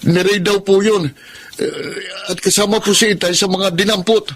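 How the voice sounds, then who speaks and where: An actor playing an earnest, reproachful young son in a radio-style drama, with a Filipino accent. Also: male voice, high-pitched, fairly even intonation, moderate pace, a crowd in the background, close to an everyday voice. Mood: anxious, urgent.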